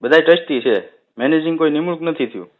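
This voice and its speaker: Higher-voiced Saurashtra man on tinny phone line. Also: fast. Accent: rural Saurashtra Gujarati. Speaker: village head on a phone call. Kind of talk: casual phone conversation. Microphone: telephone. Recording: tinny narrowband phone call recording.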